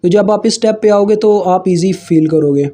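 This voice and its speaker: A young man with a Pakistani Urdu accent talks through engineering slides like a relaxed tutor. His voice sits high but sounds mellow and warm. He often stops with fillers and restarts, and he calls his listeners kids. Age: 20s. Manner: informal, addresses viewers as kids, frequent fillers and restarts; slowly reads English textbook lines aloud. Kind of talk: casual explanatory lecture over slides.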